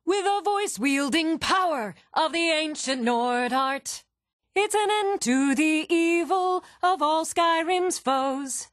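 A woman's voice: high-pitched, lively intonation, slow pace, no echo, clean studio sound. A woman performing as a female tavern bard, singing heroic ballads in a fantasy video game. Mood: solemn, singing. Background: plucked string instrument music.

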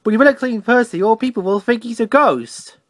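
A man voicing a brisk, helpful railway signalman in a children's storybook, speaking in exclamations. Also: very high voice, a bit bright, no echo, clean studio sound, voice pushed up. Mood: surprised, brisk.

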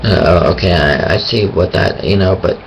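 A man talking about his faith in a hesitant, thinking-aloud way, with a raspy voice and many pauses and restarts. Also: sits low, a little hiss or hum, rough recording. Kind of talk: casual monologue on faith.

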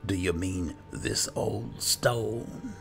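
A man roleplaying his video game character's lines as a player, in a gravelly voice. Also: fairly low pitch, drawn-out words, a bit bright, soft background music.

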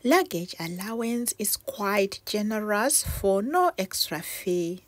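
A middle-aged woman narrating a travel vlog in a measured, matter-of-fact way. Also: very animated. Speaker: travel vlogger. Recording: noisy, with vehicle and station rumble.

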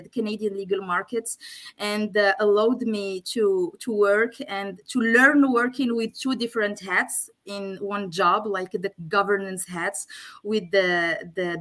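Bright-voiced woman from Morocco, hesitant. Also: mid-range voice. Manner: hesitant, many fillers and restarts. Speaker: guest, legal operations specialist. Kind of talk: casual video-call interview. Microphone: video call, laptop camera.

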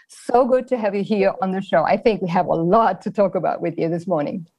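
A woman's voice: medium pitch, brisk, warm tone, lo-fi sound. Woman host in her forties, bubbly, laughs a lot, on a video call. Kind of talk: interview hosting. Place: plain-walled indoor room.

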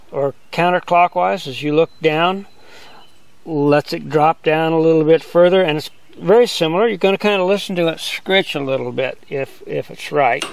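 An older man giving a relaxed, step-by-step outdoor demonstration as a sawmill instructor, talking in a plain, easygoing way. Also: fairly high pitch, vocal fry, no room sound. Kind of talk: hands-on demonstration. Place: outdoors on a grassy lawn.

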